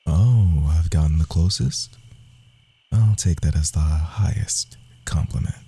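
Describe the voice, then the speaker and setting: A man playing a sly, cocky rogue assassin in a fantasy audio roleplay, speaking softly and theatrically, often dropping into whispers. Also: measured pace, soft background music, heavily acted. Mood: smug, teasing. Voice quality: low, gravelly, husky.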